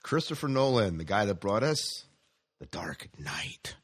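A man co-hosting a casual podcast chat about science fiction, halting and repeating his phrases as he steers the talk. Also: fairly low pitch, big pitch swings, husky. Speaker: podcast co-host.

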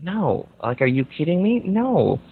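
Nasally guy talking social media on a podcast, animated, kinda muffled audio. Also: fairly high pitch, measured pace, breathy edge. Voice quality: whiny, nasal. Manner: animated, explains with examples. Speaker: podcast guest, social media agency owner. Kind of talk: casual podcast chat. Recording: slightly dull lo-fi audio.

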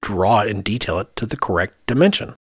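A man's voice: lowish voice, expressive, a little fast, husky, dry recording, studio quality. A man co-hosting a podcast, explaining things casually and conversationally, often thinking aloud. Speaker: podcast co-host, architect.

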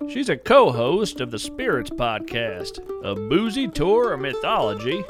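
A man's voice: medium pitch, wildly swooping pitch, unhurried. A man hosting and narrating a scripted storytelling podcast. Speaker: host and narrator. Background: plucked mandolin music.

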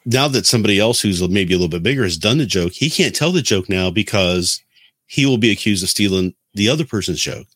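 Deep-voiced man in casual discussion, very dry. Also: animated, brisk, a bit bright, slightly rough, no echo. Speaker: podcast co-host.

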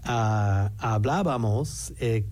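Man, English speaker, hesitant, slow pace, mellow dark tone, interview guest. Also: on the low side, slight background noise. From United States. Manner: hesitant, halting, many restarts. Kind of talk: casual interview.

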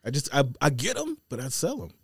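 A man's voice: on the high side, huge pitch swings, fast, breathy edge, dry recording, clean studio sound. An American man in casual podcast chat, explaining things in an informal, slangy way. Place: podcast studio.

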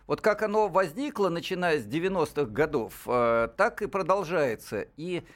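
A radio co-host in his sixties talks in a professorial, lecturing way. He is a man with a fairly high voice. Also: lively intonation, normal speed. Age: 60s. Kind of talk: radio talk show discussion.

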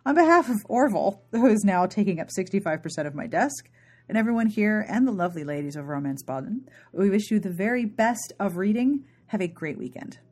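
A woman's voice: mid-range voice, animated, brisk, darkish tone. A woman hosting a podcast, chatting casually and wryly through an informal outro. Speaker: podcast host. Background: music.

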